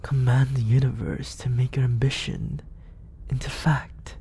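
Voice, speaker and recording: A man voicing a scheming villain mentor in an ASMR roleplay, speaking in a slow, coaxing, hushed whisper close to a studio mic. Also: mid-range voice, steady tone, gravelly, slight background noise. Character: soft-spoken male villain mentor, scheming. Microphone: close studio condenser.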